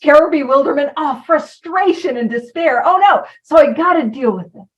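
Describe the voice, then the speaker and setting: Woman, high pitch, informal recovery talk, chatty, wanders off topic, mic at a distance. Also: animated, normal speed, muffled recording. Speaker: recovery program speaker.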